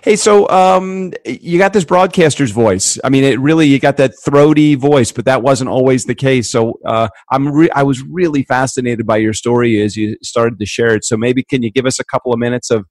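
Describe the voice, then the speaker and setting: Host masculino de podcast: voz média, fala informal, entusiasmada e cheia de recomeços, sem eco. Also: male voice, mid-range voice, normal speed, hardly any pauses, no room sound. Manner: upbeat, chatty, with restarts. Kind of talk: casual podcast interviewing.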